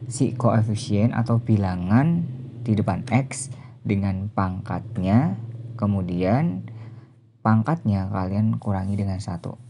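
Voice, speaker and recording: Male tutor, lowish mellow voice, methodical instructional delivery. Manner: methodical, explanatory, pausing for emphasis. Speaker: math teacher. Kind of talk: step-by-step instructional lesson.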